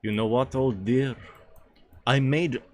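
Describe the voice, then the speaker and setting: A man voicing a jovial, tipsy, boastful Russian patriarch in a storybook read-aloud, with an attempted Russian accent and a drawn-out, chummy delivery. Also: medium pitch, slight background noise, natural voice. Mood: jovial, savoring.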